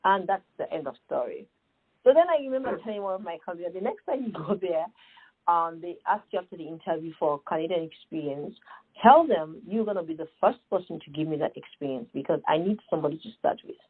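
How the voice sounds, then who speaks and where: A woman's voice: on the low side, lively intonation, quick pace, slightly rough, phone-quality sound. A middle-aged woman from Sierra Leone with a Sierra Leonean accent, answering interview questions earnestly and emphatically, with many fillers and rhetorical questions. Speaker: guest, nonprofit founder.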